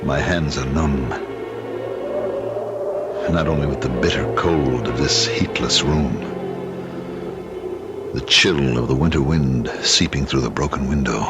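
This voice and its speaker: An actor playing an anxious, hard-up husband in old-time radio drama style, urgent and exasperated yet tender. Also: male voice, extremely low pitch, measured pace, long pauses, loud music behind the voice. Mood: haunted, grim.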